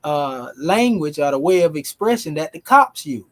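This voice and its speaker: A man, teaching a language class in a relaxed, folksy way. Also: a little high, moderate pace. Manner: relaxed, folksy, dialect grammar.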